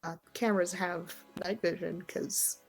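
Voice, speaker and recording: Female game master, lowish voice, conversational, improvised explanatory narration over music. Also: steady tone, a little slow, creaky, husky, poor audio.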